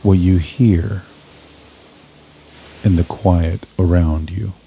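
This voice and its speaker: A man narrating a scripted guided meditation in a soothing, measured manner, leaving pauses between short phrases. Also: deep voice, fairly even intonation, mellow, breathy edge.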